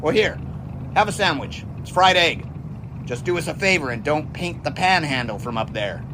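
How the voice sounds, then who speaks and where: An actor playing a cheerful, eager American test pilot of the 1920s in an audio drama, with a folksy, teasing manner. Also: male voice, fairly high pitch, animated, normal speed, noisy background, lightly acted. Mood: jovial, teasing. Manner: folksy, jaunty, enthusiastic. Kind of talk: period audio drama acting.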